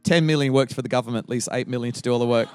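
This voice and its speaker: A man's voice: medium pitch, rapid-fire, smooth, no echo, soft background music. A middle-aged man with an Australian accent, a guest pastor opening his sermon with playful teasing and frequent laughter.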